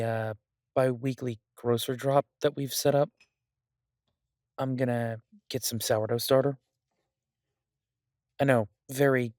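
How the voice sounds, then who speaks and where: A man playing a charming, restless mafia boss in an audio roleplay, rambling casually and intimately with self-mocking humor, mock boasts and hesitant pauses. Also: medium pitch, no room sound, clean studio sound, lightly acted.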